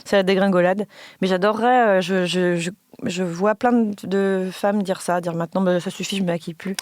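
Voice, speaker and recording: A woman's voice: mid-range voice, steady tone, a little mellow, dry recording. Chatty French woman in her thirties. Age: 30s. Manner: chatty, self-mocking, quick asides. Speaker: guest, comics artist on a jury. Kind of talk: casual podcast chat.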